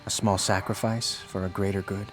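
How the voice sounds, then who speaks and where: A cool adventurer, acted by a man in a fantasy game. His voice is fairly low, his delivery wry and composed. Music is clearly audible behind him. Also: flat delivery, normal speed, close to an everyday voice. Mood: curious, cool. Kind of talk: fantasy video game acting.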